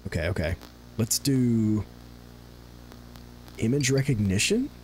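A man's voice: on the low side, lively intonation, slow, a little hiss or hum, low-quality recording. A man in his thirties giving casual, jokey gameplay commentary, often repeating himself and swearing, on a close desk mic. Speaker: gaming video host. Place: indoor gaming setup. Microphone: close desk microphone and headset. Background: game music.

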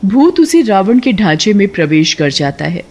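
Woman narrator telling a scripted kids story, mid pitched voice. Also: big pitch swings, normal speed, a little mellow.

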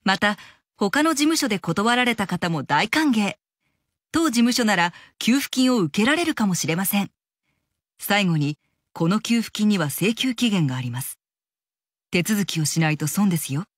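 A woman of medium pitch narrates a scripted radio commercial. Her delivery is measured and clearly articulated, recorded very dry. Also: animated, dry recording. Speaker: commercial announcer for a law office.

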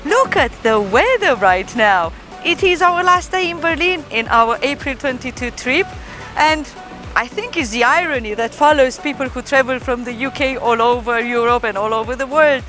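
A woman's voice: high-pitched, lively intonation, normal speed, bright, forceful tone, soft background music. Chatty woman vlogging outdoors. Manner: chatty and upbeat, with some hesitations. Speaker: travel vlogger. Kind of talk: casual travel vlog to camera. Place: outdoors in a city square.

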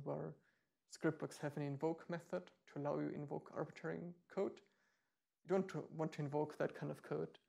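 Man, 30s, meetup presenter; dark gravelly voice, explains with ums and restarts. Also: fairly high pitch. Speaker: meetup speaker. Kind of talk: live tech demo talk. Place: meetup room.

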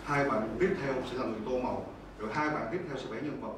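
A man's voice: medium pitch, fast. Southern Vietnamese man teaching, very echoey. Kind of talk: classroom explanation. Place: school classroom. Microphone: far camera. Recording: echoey noisy classroom, distant mic.

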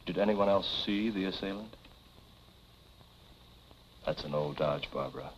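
An actor playing a suave, quietly menacing man in his thirties, speaking smoothly and formally in 1940s film style. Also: low-pitched, measured pace, a little hiss or hum. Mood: insinuating. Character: suave, cool male family friend, quietly insinuating and menacing. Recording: dull 1940s film soundtrack with music.

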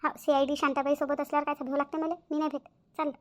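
A woman's voice: high-pitched, little pitch movement, fast talker, lightly acted. A woman voicing a timid, curious village sidekick in a cartoon, exclamatory and questioning, with a Varhadi Marathi accent. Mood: eager. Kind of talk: cartoon character dialogue.

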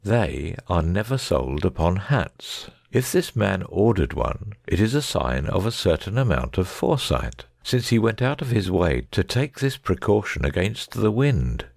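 A man playing a confident, analytical gentleman detective in a dramatic audiobook, precise and didactic. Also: low-pitched, big pitch swings, measured pace, creaky, few pauses, husky, no echo, clean studio sound, exaggerated voice. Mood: explanatory.

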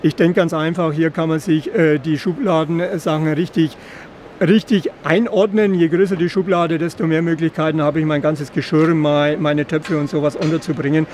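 Middle-aged man, higher pitch, explanatory, slightly halting, product presentation, trade fair noise behind. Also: steady tone, a little mellow. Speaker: caravan company representative. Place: caravan at an indoor trade fair. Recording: clear background noise of busy trade fair.

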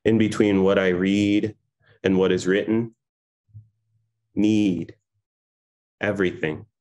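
Poet in his 30s, deep low voice, reading over a laptop webcam at home. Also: steady tone, slow pace. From Iran. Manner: soft-spoken, hesitant with many fillers between poems. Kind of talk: poetry reading. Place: home room with framed pictures on the wall. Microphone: laptop webcam video call.

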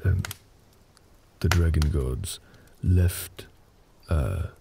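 Big tender orc husband, male actor for intimate comfort audio: extremely creaky low growl, unhurried, reassuring storytelling. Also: big pitch swings, background noise, exaggerated voice. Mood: calm storytelling. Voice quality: very deep, gravelly. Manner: slow, hesitant, reassuring, conversational.

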